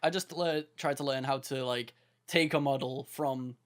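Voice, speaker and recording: A man's voice: medium pitch, steady tone, normal speed, dry recording, clean studio sound. A man co-hosting a casual podcast, laid-back and chuckling as he rambles nostalgically. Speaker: podcast co-host.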